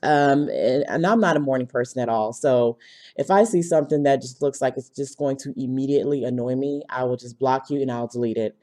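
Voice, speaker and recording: A woman giving casual, opinionated commentary in a blunt, emphatic manner, slightly hoarse from a cold. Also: extremely low pitch, brisk, dark tone. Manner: blunt, emphatic, punctuating points with tag questions. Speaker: video commentator.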